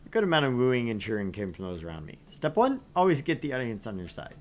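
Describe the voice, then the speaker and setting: A man reads a visual novel aloud for his let's play, his voice swinging widely and animatedly through each line. He drops in joking asides as he goes, at an everyday middle pitch. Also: fast. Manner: lively, animated reading with asides.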